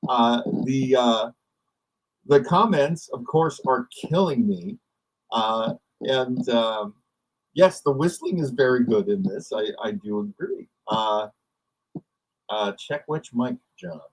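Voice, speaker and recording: A man's voice: medium pitch, takes it slowly, poor audio. A man, hosting a livestream in casual, rambling chat. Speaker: livestream host.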